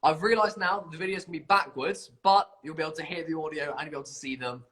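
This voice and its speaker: A man's voice: a little high, fast talker, a bit bright. A man hosting an informal live competition stream, chatty and self-deprecating, bumbling along as he presents. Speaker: competition livestream host.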